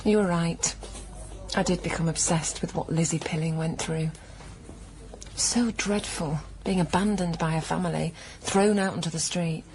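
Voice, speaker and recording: Radio play: actress as hushed, wounded woman, lowish voice, emotional natural delivery, faint ambience behind. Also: fairly even intonation, moderate pace, a little hiss or hum, natural voice, low-quality recording. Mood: subdued, reconciling. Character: wounded, emotional woman haunted by her ancestor's suffering. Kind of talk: naturalistic radio drama dialogue.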